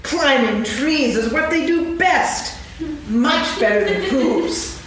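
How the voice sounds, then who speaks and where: A woman's voice: high voice, animated, slow pace, a bit bright, exaggerated voice. A woman playing a boastful, bouncy young tiger in a staged reading of a children's story, speaking emphatically. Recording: echoey hall from far off, faint noise.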